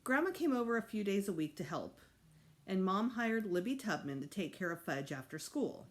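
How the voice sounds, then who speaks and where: Female storyteller, teacher reading to children. Highly animated intonation, medium pitch, emphatic and expressive. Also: moderate pace. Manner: animated, acts out dialogue with emphasis. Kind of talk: expressive read-aloud storytelling.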